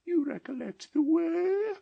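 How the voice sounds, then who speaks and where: A woman voicing a gentle, otherworldly ghost of the past in an audiobook dramatization, speaking slowly and solemnly. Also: extremely high pitch, lively intonation, creaky, no echo, muffled recording, exaggerated voice. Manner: slow, solemn and mild.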